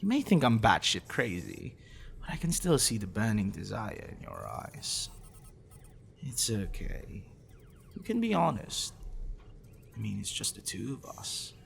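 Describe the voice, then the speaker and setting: Man acting a gravelly, sadistic, teasing professor. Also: fairly low pitch, wildly swooping pitch, fairly bright tone, slight background noise, heavily acted. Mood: seductive, teasing. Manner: condescending, teasing, deliberate, dropping into breathy whispers. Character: possessive sadistic male professor, obsessive, teasing, smugly intellectual. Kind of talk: ASMR roleplay, addressing the listener intimately.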